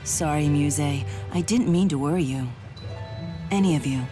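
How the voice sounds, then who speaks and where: An actress voicing a proud, resolute heroine in a video game, direct and self-possessed, stern yet caring. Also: female voice, low-pitched, a little slow. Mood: sincere, gentle. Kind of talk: English video game voice acting. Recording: game music behind the voice.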